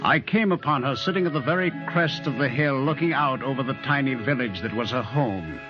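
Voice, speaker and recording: An actor playing a lovestruck, earnest American man of thirty-six in an old-time radio drama, speaking in a measured, dramatic way. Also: mid-range voice, close to an everyday voice. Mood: wistful, brooding. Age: 30s. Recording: dull old radio recording, music behind.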